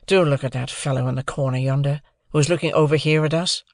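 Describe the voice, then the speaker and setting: A woman voicing an earnest, wretched young gentleman in love in an audiobook, pleading and insistent. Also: deep voice, fairly even intonation, quick pace, dry recording, studio quality.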